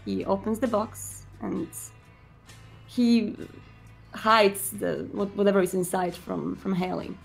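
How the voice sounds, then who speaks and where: Female roleplay narrator, heavy vocal fry, improvised third-person storytelling with halting phrasing. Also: mid-range voice, fairly even intonation, faint music behind, poor audio. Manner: halting, pausing mid-sentence. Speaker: roleplay game player narrating own character.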